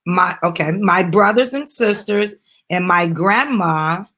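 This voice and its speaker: A middle-aged woman with a slightly husky voice, reading a children's book aloud and chatting with a child and audience. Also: on the low side, slow pace, a bit bright. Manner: addresses the child and audience, prompting with questions. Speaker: mother reading a children's book with her daughter. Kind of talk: dramatic storybook reading with casual asides.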